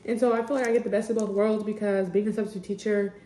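A woman's voice: medium pitch, little pitch movement, fast, warm tone. Rambling young woman in an echoey room. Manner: rambling, candid, full of "like" and "I just". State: sniffling. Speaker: podcast host. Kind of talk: casual personal chat.

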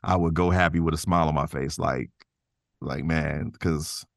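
A man's voice: very deep voice, steady tone, normal speed, gravelly, no echo, clean studio sound. A man chatting casually as a podcast guest, informal and slangy, rambling through his answers. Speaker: podcast guest, voice actor and artist. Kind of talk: casual interview chat.

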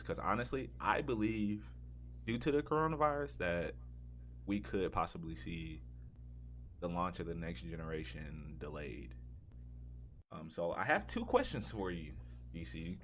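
A man with a very low voice co-hosts a gaming podcast. He talks casually and wanders through his thoughts as he goes. There is a light hum and background noise on the recording. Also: fairly bright tone. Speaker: podcast host.

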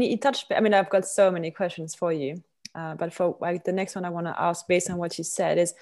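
A woman's voice: lowish voice, a little mellow. A woman hosting a podcast interview, asking questions with eager, complimentary enthusiasm. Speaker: podcast host. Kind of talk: casual interview conversation.

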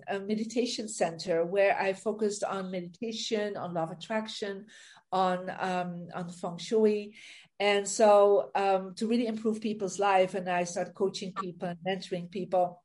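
A woman's voice: mid-range voice, steady tone, moderate pace, mellow. A middle-aged woman from Belgium with a Belgian accent, telling her story as a guest in a casual interview, with light laughs. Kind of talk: interview on a video call.

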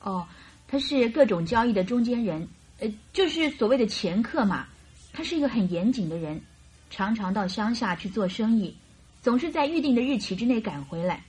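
A woman's voice: fairly high pitch, lively intonation, moderate pace, a little mellow, breathy edge, poor audio. An actress playing a cheerful, chatty young woman typist in a radio drama, girlish and emphatic. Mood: explaining, lively. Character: plump, cheerful, chatty young female typist in her early twenties.

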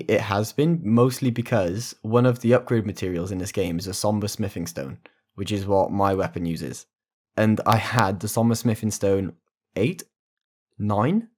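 A man with a slightly low voice co-hosts a podcast. He tells stories in a relaxed, unfiltered way and swears freely. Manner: laid-back, sweary storytelling. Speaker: podcast co-host. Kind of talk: casual podcast banter.

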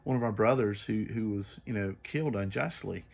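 Man, 30s, low and earnest, halting co-host. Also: creaky, darkish tone. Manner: earnest, searching for words, many repeats. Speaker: podcast co-host. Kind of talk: casual faith conversation.

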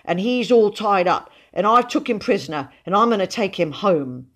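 A woman's voice: mid-range voice, animated, normal speed, pitched above the performer's own voice, natural voice. A woman voicing an earnest, proud and stubborn little boy in an audiobook, with dogged, childish, run-on speech. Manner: dogged, breathless run-on boasting, childish grammar. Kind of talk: audiobook character voice.

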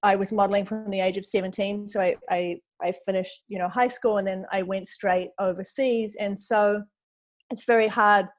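A woman's voice: mid-range voice, fairly even intonation, moderate pace. A woman in her forties from New Zealand with a New Zealand accent, a podcast guest chatting casually and often quoting her own thoughts. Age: 40s. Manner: chatty, lots of fillers and quoted self-talk. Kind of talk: casual interview chat.

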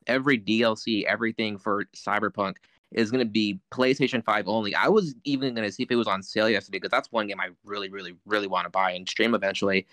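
A man's voice: lowish voice, fairly even intonation, quick pace, sharp tone, no room sound. A man co-hosting a podcast, chatting casually about video games and rambling with lots of fillers. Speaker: podcast co-host. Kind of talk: casual chat.

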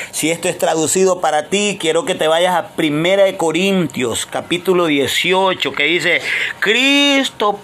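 A man from Honduras with a Honduran Spanish accent, preaching a sermon with impassioned swings between loud declarations and low, hushed passages. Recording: faint background noise.

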